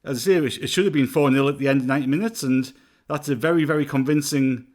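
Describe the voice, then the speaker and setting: A man's voice: mid-range voice, fairly even intonation, fast talker, a little mellow. A man co-hosting a football podcast over a remote call, giving thoughtful, rambling analysis with plenty of fillers. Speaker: podcast co-host. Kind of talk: casual football podcast chat.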